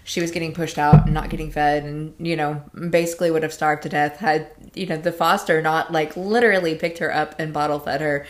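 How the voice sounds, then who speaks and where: A woman with a Southern American accent, co-hosting a podcast in casual chat, telling a story in a relaxed way and laughing often. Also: low voice, a little fast, hardly any pauses, slight background noise. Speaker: podcast co-host.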